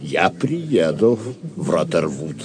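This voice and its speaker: An actor playing a calm, good-humoured and mysterious knight in an audio drama, measured and dignified. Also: male voice, very deep voice, crowd noise, exaggerated voice. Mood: dignified, amused.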